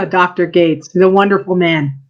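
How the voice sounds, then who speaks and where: A woman's voice: on the low side, fairly even intonation, measured pace. A woman historian explaining church history in an interview, earnest and laughing easily. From Canada. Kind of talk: casual interview answers. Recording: dull lo-fi sound.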